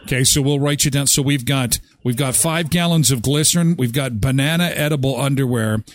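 A straight-faced male hotel clerk played by a radio prankster handles a confirmation call. He is polite and officious, pausing and hesitating as he goes through details. The sound is dry and close. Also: medium pitch, dry recording, natural voice.

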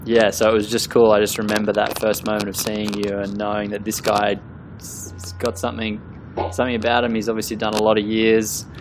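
A man interviewing a guest casually, relaxed and halting, with fillers. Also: fairly low pitch, noisy background.

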